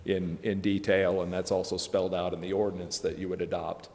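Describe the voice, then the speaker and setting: Male consultant, low-pitched voice, explanatory delivery, long room reverb. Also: level tone, brisk, darkish tone. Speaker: legal consultant presenting. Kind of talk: explanatory presentation. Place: council chamber.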